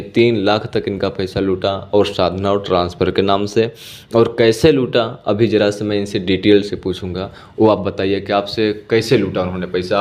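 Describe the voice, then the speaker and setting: A man's voice: fairly low pitch, a little mellow. A man hosting a recorded phone interview as a guru, asking probing questions and prompting with short acknowledgements. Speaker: guru and channel host interviewing a follower. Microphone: phone call.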